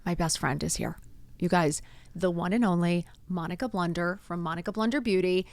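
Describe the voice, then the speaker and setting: A woman's voice: fairly low pitch, steady tone, a little fast, a little mellow, dry recording. A woman in her fifties hosting a talk show, gushing and effusive as she welcomes her best friend. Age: 50s. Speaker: podcast host. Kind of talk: casual chat.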